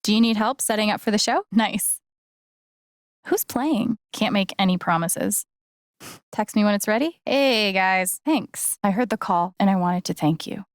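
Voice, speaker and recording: A woman's voice: medium pitch, unhurried, dry recording, studio quality, close to an everyday voice. An actress playing an earnest, caring and determined young woman in naturalistic, conversational video game dialogue. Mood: friendly, earnest. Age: young adult.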